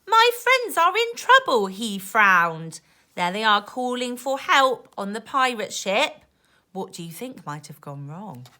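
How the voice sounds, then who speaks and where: Animated woman reading a children's story, bright voice. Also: medium pitch, slow. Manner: animated, emphatic, sing-song rhyming delivery. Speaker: teacher reading a picture book to young children. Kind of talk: expressive children's storytime reading with asides to listeners.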